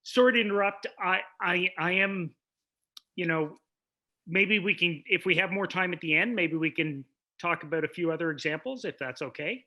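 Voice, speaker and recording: A man's voice: high voice, normal speed, sharp tone. A man moderating a conference panel, apologetic and stumbling as he moves things along on a video call.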